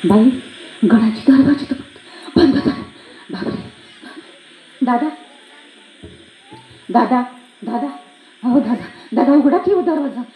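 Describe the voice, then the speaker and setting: Woman acting high-voiced anxious young village milkmaid. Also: expressive, takes it slowly, long pauses, warm tone, slight background noise. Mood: desperate pleading. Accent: rural Maharashtrian. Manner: emphatic, pleading, repeats calls and words. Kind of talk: theatrical one-act play monologue. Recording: echoey room.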